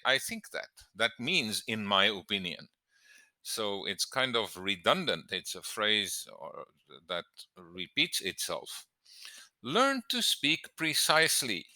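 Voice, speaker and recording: A man speaking as an English teacher giving exam tips in an emphatic, deliberate instructional style, stressing key words. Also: lowish voice, sharp tone, no echo. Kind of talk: instructional lesson to camera.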